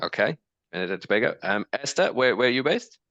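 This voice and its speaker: Bright-voiced upbeat male panel moderator. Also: mid-range voice, big pitch swings, fast talker, no room sound, highs cut off. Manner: upbeat, filler-heavy, restarts names. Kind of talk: hosting an online panel discussion.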